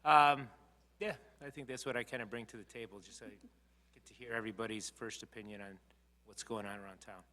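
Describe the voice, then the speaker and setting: A man's voice: lowish voice, fairly even intonation, fast talker, bright, forceful tone. A man, a commission member, casually introducing himself at a meeting in a hesitant, informal manner. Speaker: commission member, small business owner. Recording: reverberant meeting room.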